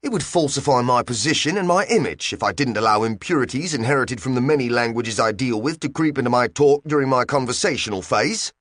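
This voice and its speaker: A man's voice: medium pitch, lively intonation, a little fast, hardly any pauses, a bit bright, no echo, studio quality, voice pushed up. A man voicing a touchy little translator gadget that talks with a put-on foreign accent and takes offense easily. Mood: huffy. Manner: prickly, huffy, easily insulted.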